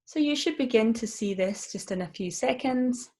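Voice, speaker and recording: A woman with a Scottish accent, a mindfulness coach leading a live-streamed guided session in a gentle, encouraging way with frequent ums. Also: a little high, animated, moderate pace. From Scotland.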